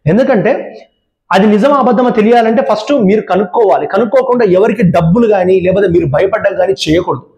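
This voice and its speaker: A man's voice: high voice, fast, a little mellow. A man presenting a public awareness talk, giving earnest, repeated warnings straight to viewers. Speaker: channel presenter. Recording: roomy echo.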